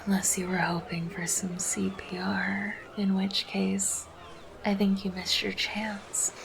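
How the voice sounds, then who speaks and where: Slow-talking, creaky, caring lifeguard woman, acted out by a woman. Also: medium pitch, flat delivery. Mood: soothing, reassuring. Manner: soft, intimate, casual, reassuring. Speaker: roleplay voice performer. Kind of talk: roleplay ASMR acting. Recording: background noise under the voice.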